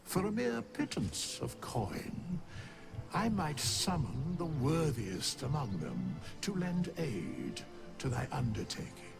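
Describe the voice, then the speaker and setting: Slow, gravelly ancient undead skeleton. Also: male voice, mid-range voice, very animated, over music, full character voice. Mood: solemn, grave. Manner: archaic, formal, cryptic, measured delivery. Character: ancient undead skeleton keeper of the dead, solemn and unhurried. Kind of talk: video game voice acting, archaic English.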